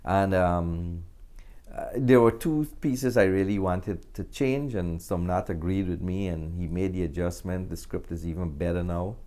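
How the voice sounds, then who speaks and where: Low-voiced Guyanese man, pausing as he talks. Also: dark tone. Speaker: interview guest, actor and filmmaker. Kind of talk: casual interview talk.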